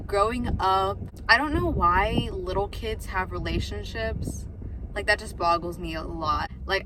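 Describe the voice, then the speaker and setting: A woman's voice: medium pitch, noisy background. An eighteen-year-old woman chatting in a rambling vlog from her parked car, filling her talk with "like" and quotes of herself. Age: late teens. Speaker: vlogger. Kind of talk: casual talking-to-camera vlog. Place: parked car, outside a coffee shop.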